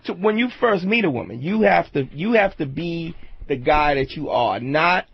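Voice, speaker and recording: A man with a husky voice chatting casually as an opinionated panelist, repeating his points for emphasis. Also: fairly high pitch, expressive, normal speed. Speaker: talk show panelist. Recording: dull lo-fi audio.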